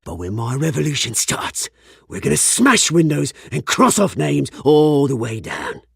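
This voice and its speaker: Gravelly British crime lord, wildly swinging pitch. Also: male voice, big pitch swings, a little slow, no echo, studio quality, full character voice. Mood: sinister, mocking. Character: bitter, menacing British crime-lord revolutionary, faded rich heir. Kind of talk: dramatic video game acting.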